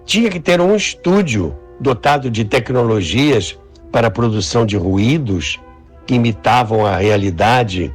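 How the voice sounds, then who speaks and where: A man's voice: mid-range voice, expressive, unhurried, breathy edge, faint music behind. An older man with a Brazilian accent, explaining and reminiscing in an interview.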